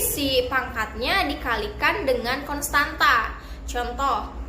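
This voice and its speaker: A young woman, a pharmacy student, giving a recorded class presentation and working through math rules step by step in a steady, methodical way. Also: high voice, echoey room, a little hiss or hum. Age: young adult.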